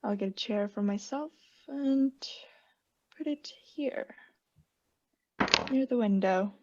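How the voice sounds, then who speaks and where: A young woman playing a guilty, unhinged caretaker in a radio play, breathy and often whispering, with halting, insistent self-justification. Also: a little high, mellow, muffled recording. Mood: uneasy, distracted. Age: young adult. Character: guilty, unhinged caretaker, anxiously feigning calm politeness. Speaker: student actor.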